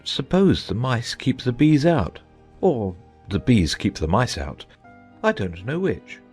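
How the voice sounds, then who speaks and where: A man playing an eccentric, earnest, bumbling old knight in a dramatized audiobook, with a halting, fussy delivery. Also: mid-range voice, sing-song, darkish tone, breathy edge, faint music behind, heavily acted. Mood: discontented, musing. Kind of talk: dramatized audiobook character acting.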